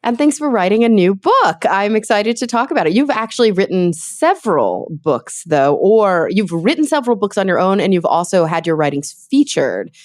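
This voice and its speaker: A woman's voice: medium pitch, big pitch swings, normal speed, hardly any pauses, a little mellow. A woman hosting a podcast in a chatty, informal, conversational way, sounding slightly congested from a recent illness. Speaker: podcast host. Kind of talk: conversational podcast introduction and interview.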